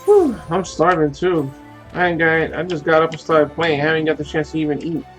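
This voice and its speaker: A man's voice: a little high, a little fast. A man, chatting casually and cursing while streaming a game. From Puerto Rico. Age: 30s. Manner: laid-back, profane, laughing easily. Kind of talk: casual chat while gaming. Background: faint game music.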